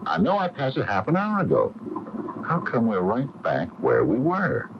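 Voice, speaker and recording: A man's voice: mid-range voice, huge pitch swings, moderate pace. An actor playing an indignant, stubborn small-town man in a radio mystery drama, speaking emphatically with folksy phrasing. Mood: flustered, irritable. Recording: muffled, noisy 1980 AM radio broadcast.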